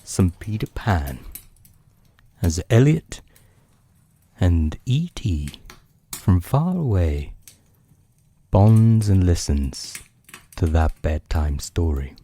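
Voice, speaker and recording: A man with a low-pitched, mellow voice narrates like a bedtime storyteller. He takes his time, lingering on phrases with dramatic pauses. His delivery has a husky edge. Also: big pitch swings. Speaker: podcast host and storyteller.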